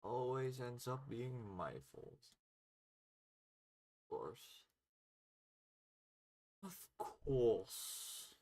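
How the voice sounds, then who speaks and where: A man's voice: mid-range voice, very slow, pauses often, darkish tone. A man chatting casually on a game development livestream, muttering to himself as he thinks aloud while working.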